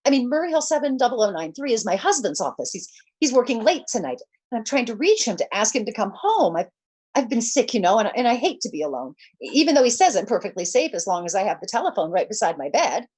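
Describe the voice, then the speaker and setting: An actress playing a nervous, demanding invalid wife in 1940s radio suspense style, with a fretful, insistent, stammering delivery. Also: female voice, on the high side, sing-song, fast, a little mellow, breathy edge. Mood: anxious, flustered.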